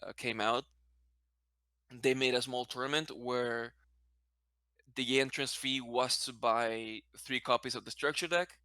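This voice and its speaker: Bright, clear-voiced man from Brazil, native Portuguese speaker. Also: mid-range voice, steady tone, a little slow, long pauses, no echo, studio quality. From Brazil, later a Spanish-speaking country. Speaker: interview guest. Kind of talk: casual conversational interview answers.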